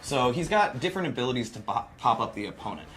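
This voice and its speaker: A man presents a video game in a casual, enthusiastic way. His pitch is average, and there is some music playing behind him. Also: very animated, quick pace. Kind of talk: casual gameplay demo commentary.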